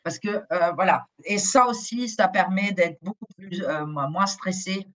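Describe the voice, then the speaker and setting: A woman's voice: mid-range voice, measured pace. A middle-aged woman whose first language is German, a company director talking casually in a live interview, full of fillers and restarts. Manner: chatty, colloquial, many fillers and restarts. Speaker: agency director, guest.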